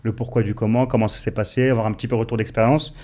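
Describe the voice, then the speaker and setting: A man's voice: on the low side, steady tone, racing, dark tone. Man hosting a podcast interview. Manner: friendly, hesitant with fillers. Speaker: podcast host. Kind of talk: casual interview, scripted intro.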